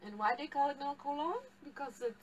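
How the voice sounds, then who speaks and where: A woman's voice: high-pitched, brisk, recorded from a distance, muffled recording, noisy background. A middle-aged woman with a Polish accent teaching a live tea tasting class in a tea shop, picked up by a camera across the table, explaining and laughing often. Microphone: far camera across table.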